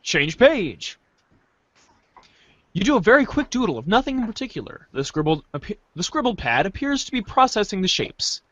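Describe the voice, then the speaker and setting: High, swooping theatrical male narrator. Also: sing-song, normal speed, a bit bright, no echo, highs cut off. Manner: theatrical, playful. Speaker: podcast narrator reading a webcomic aloud. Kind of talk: animated dramatic reading, second-person narration.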